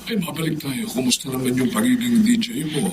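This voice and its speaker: An actor playing an affectionate, ailing middle-aged Filipino father in a television drama, tender, emotional and halting. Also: medium pitch, background noise, lightly acted. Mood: proud, affectionate.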